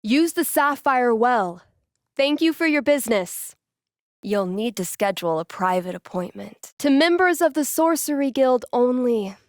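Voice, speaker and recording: A woman's voice: a little high, animated, measured pace, no room sound, clean studio sound. A woman voicing a haughty, mysterious fantasy magic-item merchant in a video game role-play, formal and pompous. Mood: haughty, intrigued.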